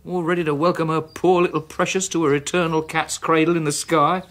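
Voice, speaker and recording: An actor playing a callous, sarcastic, bullying husband with a British accent in a radio drama. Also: male voice, a little high, little pitch movement, brisk, fairly bright tone, natural voice. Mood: mocking. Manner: hectoring, sarcastic, clipped repetitions. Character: callous, bullying, sardonic husband, impatient and scheming.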